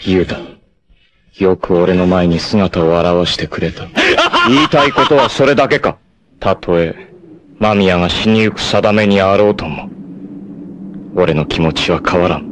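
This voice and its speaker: A man voicing a proud young warrior in an anime, making solemn, defiant declarations in a low, intense voice with sudden high battle shouts. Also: unhurried, pauses often. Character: proud long-haired young male warrior, grim and devoted. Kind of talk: 1980s anime dub acting. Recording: noisy old TV soundtrack.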